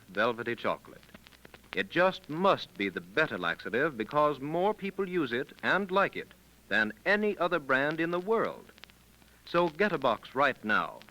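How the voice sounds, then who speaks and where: A man's voice: mid-range voice, sharp tone, poor audio. A man announcing in a persuasive, emphatic 1930s radio commercial style, speaking straight to the listener. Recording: old 1930s radio broadcast recording.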